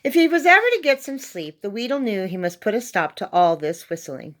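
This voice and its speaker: A woman's voice: mid-range voice, lively intonation, fairly quick, fairly bright tone. A woman reading a children's story aloud as narrator, expressively and with dramatic emphasis on key words. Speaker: storybook reader.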